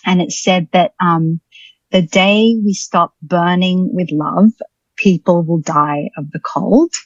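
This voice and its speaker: A woman's voice: fairly low pitch, no echo, highs cut off. A woman, a podcast guest thoughtfully telling her life story with many ums and restarts. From Australia. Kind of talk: reflective personal storytelling.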